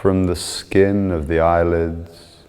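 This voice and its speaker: A man calmly guiding a meditation, soothing and measured, with long pauses between short instructions. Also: sits low, clean tone, in a large hall. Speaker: yoga and meditation teacher.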